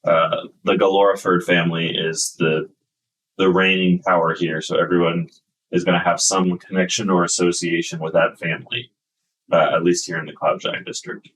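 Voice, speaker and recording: A man's voice: low voice, flat delivery, normal speed, a bit bright, recorded from a distance, rough recording. A man narrating a tabletop game as game master, explaining at length with many fillers.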